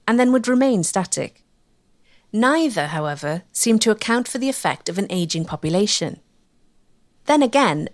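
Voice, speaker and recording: A woman reading scripted documentary narration as the narrator. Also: mid-range voice.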